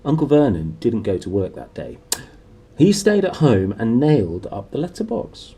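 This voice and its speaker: A man's voice: medium pitch, very animated, moderate pace, dark tone, breathy edge. A man narrating a storybook aloud in a dramatic, playful way. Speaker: book narrator. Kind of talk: animated storybook read-aloud.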